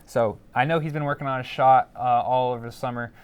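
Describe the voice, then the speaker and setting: A man's voice: mid-range voice, fairly even intonation, fairly quick, smooth, highs cut off. A man giving opinions as a panelist in a casual sports discussion, often repeating words as he goes.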